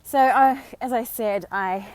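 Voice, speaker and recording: An Australian woman vlogging live on a walk outdoors, slightly hoarse from a sore throat, talking in a chatty, encouraging way with many fillers. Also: fairly high pitch, slow pace, darkish tone, slight background noise. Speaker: vlogger and dating coach. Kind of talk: casual live-stream talk.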